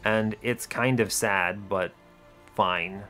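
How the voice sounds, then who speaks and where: A man's voice: fairly low pitch, fairly even intonation, slow, pushed and bright. A man hosting a gaming video, giving casual play-by-play in short, understated, dry remarks. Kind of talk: casual gameplay commentary. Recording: music behind the voice.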